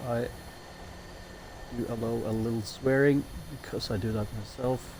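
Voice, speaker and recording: A man's voice: medium pitch, steady tone, a little slow, background noise. A man with a Norwegian accent gives easygoing, chatty commentary as a game streamer, with self-mocking jokes. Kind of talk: casual commentary while playing a building game.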